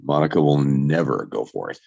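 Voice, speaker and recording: A brooding, bitter young man played by a man in a drama table read. The voice is deep and dark, and the dull recording has very little room in it. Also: expressive, moderate pace, creaky, no room sound, heavily acted. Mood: bitter, resigned.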